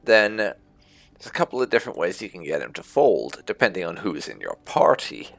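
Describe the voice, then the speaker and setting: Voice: sits low, very animated, fairly quick, creaky, a bit bright, faint music behind. A video game streamer giving casual, rambling let's-play commentary with wry asides.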